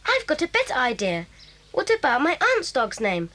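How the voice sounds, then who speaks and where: A woman's voice: sits high, wildly swooping pitch, normal speed, pushed and bright, full character voice. A woman voicing a cheeky, stubborn young schoolboy in an 1980s audio drama, argumentative and defiant.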